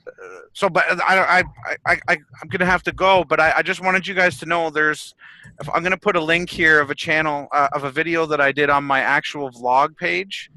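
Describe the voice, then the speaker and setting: A man's voice: a little high, fairly even intonation, fairly quick, sharp tone, low-quality recording. A man on a group video call who talks intensely and with firm opinions, stumbling over restarts.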